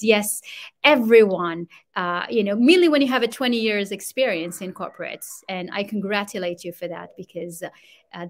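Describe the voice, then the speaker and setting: Effusive woman hosting a live interview. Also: medium pitch. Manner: effusive, welcoming. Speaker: host of an online interview series.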